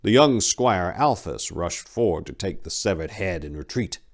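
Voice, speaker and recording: A man's voice: low voice, lively intonation, normal speed. A man narrating a story in a dramatic, scripted reading style.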